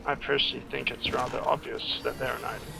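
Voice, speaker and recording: A man giving casual, rambling playthrough commentary on a video game, halting and trailing off mid-thought. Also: mid-range voice, little pitch movement, vocal fry, background noise. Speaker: gameplay commentator, screenwriter. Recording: game music behind commentary. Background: video game music.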